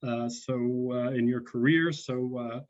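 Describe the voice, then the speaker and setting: A man in his sixties from North Carolina addresses a congregation over a video call. He talks in a halting way, full of ums and uhs. The sound is dull and lo-fi. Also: mid-range voice. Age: 60s. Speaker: guest speaker. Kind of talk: personal talk to a congregation.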